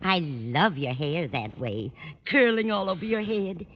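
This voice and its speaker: A woman's voice: lowish voice, lively intonation, unhurried. A woman playing a fussy, scatterbrained, kindly older landlady in a 1950s radio sitcom, with a fluttery manner. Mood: chatty, admiring. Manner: fluttery, absent-minded. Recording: muffled old radio broadcast, faint noise.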